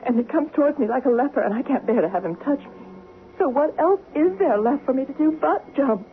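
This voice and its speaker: An actress playing an anxious, insecure, devoted young wife in a radio drama, hesitant and confiding. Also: female voice, sits high, lively intonation, brisk. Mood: fearful, confiding. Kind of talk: 1970s radio drama acting. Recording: noisy, tinny vintage radio broadcast.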